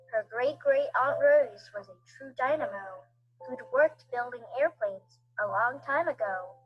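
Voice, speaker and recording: High-voiced woman narrating, muffled, over music. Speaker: storybook narrator. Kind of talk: scripted rhyming children's story narration. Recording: muffled playback with music underneath.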